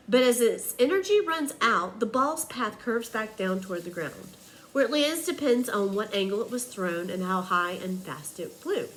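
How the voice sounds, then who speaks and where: Lady teacher reading a kids book out loud, expressive, bit of noise in the recording. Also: fairly high pitch, low-quality recording. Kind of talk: children's picture book read-aloud.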